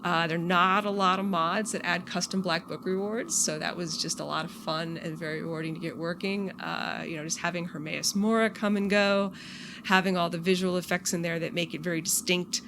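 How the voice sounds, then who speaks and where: A woman's voice: lowish voice, steady tone, a little fast. A woman who develops game mods, giving casual, reflective answers in a conversational interview style. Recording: clearly noisy background.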